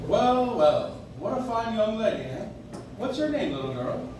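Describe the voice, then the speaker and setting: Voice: medium pitch, sing-song, a little slow. A performer playing a kindly old male Santa Claus in an amateur live radio play, speaking genially and reassuringly. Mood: twinkly, genial. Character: kindly old department store Santa Claus, jolly man. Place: coffee house stage, recorded from the audience. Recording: distant audience recording, very echoey, noisy.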